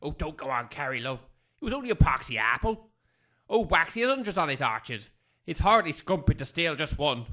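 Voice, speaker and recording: Guy doing a grumbly working class english husband, defiant, pretty sharp voice, audio comedy. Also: fairly high pitch, sing-song, fairly quick. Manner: grumbling, colloquial. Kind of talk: comic audio drama acting.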